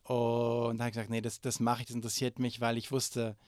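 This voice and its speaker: German guy in his forties answering questions, stops and restarts a lot, smooth clear voice. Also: mid-range voice, completely flat delivery, brisk, a little mellow, dry recording, clean studio sound. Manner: hesitant, many filler sounds and restarts. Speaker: interview guest. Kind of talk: conversational interview answers.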